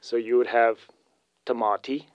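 A South African man speaks over slightly muffled call-in audio, in a dry, close sound. His native tongue is Afrikaans, and he talks at an easy, halting pace, often repeating words as he explains a recipe. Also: mid-range voice, steady tone, clear, resonant tone, no room sound. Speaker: winery guest calling in. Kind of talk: casual recipe chat.

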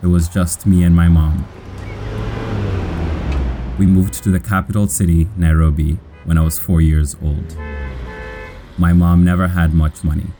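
A man from Kenya with an American accent, narrating his own life in measured, scripted, plainly worded short sentences. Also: low voice, level tone, music in the background. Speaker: storyteller.

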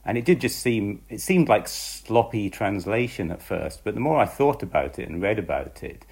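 A man being interviewed as a magazine writer, answering reflectively and with amusement, pausing on ums. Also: fairly low pitch, very animated, gravelly, a little mellow, slightly rough.

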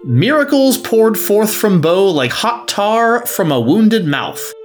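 A man's voice: high-pitched, sing-song, slow pace, music in the background. A man narrating a scripted story.

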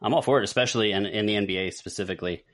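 A man's voice: fairly low pitch, rapid-fire, a bit bright. A man hosting a podcast in a casual, conversational panel chat. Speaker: podcast host.

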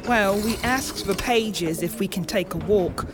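A woman playing a blunt, grumpy, restless visitor in an audio drama, with a deadpan manner. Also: medium pitch, unhurried, over music, close to an everyday voice. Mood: dry.

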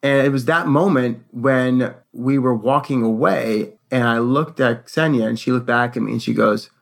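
Gravelly man telling a casual personal story. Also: medium pitch, moderate pace. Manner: animated retelling with acted-out quotes.

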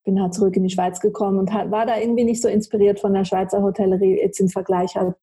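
A woman from Switzerland, a native Swiss German speaker, speaking conversationally as an interview guest with a husky voice. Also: medium pitch, little pitch movement, fast talker, warm tone. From Switzerland, grew up in Zurich. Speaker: podcast guest. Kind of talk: conversational life-story interview.

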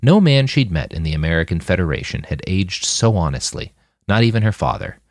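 A man's voice: sits low, big pitch swings, fairly quick, dry recording, studio quality. A man reading scripted fiction as an audiobook narrator.

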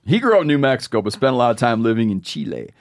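A man's voice: mid-range voice, very animated, racing, gravelly. A man hosting a casual podcast chat, reading listener mail aloud and making joking asides. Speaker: podcast host.

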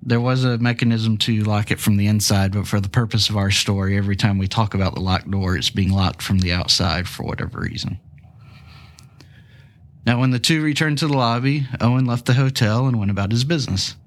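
A man's voice: lowish voice, a little fast. A man hosting a true crime podcast, sounding stuffy from a cold, telling the case with casual, jokey asides. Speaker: podcast host.

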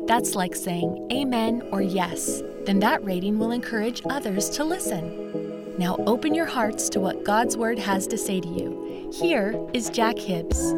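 A woman reading scripted announcements and promotions in a smooth, read delivery. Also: medium pitch, expressive, a little slow. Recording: music bed behind voice.

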